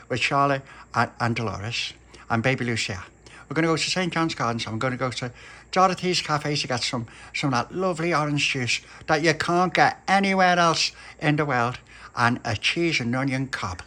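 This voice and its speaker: A man with a Liverpool accent voicing an eager little boy who stammers and gushes with excitement. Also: fairly high pitch, voice pushed up.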